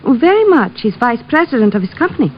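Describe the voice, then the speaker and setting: High-voiced coy wife, vintage radio. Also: female voice, very animated, fast talker, a little hiss or hum. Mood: cool, businesslike. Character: cool, flirtatious wealthy wife hiring a detective. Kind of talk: old-time radio detective drama acting. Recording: dull vintage radio recording.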